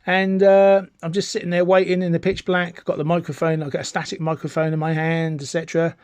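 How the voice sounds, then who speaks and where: A man's voice: on the high side, level tone, quick pace, darkish tone, smooth, dry recording. A British man giving an informal, chatty gadget demonstration as an enthusiastic, rambling hobbyist presenter. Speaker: amateur radio enthusiast presenting a video. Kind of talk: informal product demonstration to camera.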